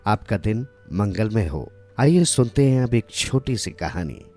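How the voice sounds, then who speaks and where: A man's voice: lowish voice, very animated, unhurried, dark tone. A man presenting with a courteous scripted introduction, music playing behind him.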